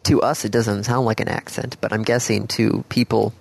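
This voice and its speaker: A man with a Midwestern accent co-hosts a podcast in easy, rambling chat. His voice has a gravelly, creaky edge. The close mic sounds dry and near. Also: lowish voice, little pitch movement, normal speed, darkish tone, no echo, low-quality recording. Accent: American Midwest. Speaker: podcast co-host. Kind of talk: casual chat.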